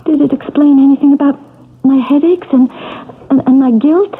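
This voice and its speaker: A woman's voice: high-pitched, fairly even intonation, normal speed, narrow, tinny audio, close to an everyday voice. A woman playing a troubled, earnest young woman. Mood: confused. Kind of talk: 1970s radio drama acting. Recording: old AM radio broadcast, noisy.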